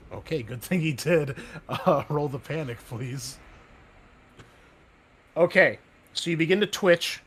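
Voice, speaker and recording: A man's voice: on the high side, expressive, slow pace, pauses often, fairly bright tone, a little hiss or hum. Chatty man running a tabletop game. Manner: loose and chatty, full of "like" and "um" fillers. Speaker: game master.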